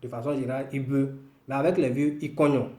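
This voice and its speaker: A man from northwestern Ivory Coast with an Ivorian accent, a native Woloduka speaker, giving an informal language lesson in a deliberate, explanatory way. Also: medium pitch, fairly even intonation, mellow. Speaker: language teacher, video host. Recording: roomy, echoing room sound.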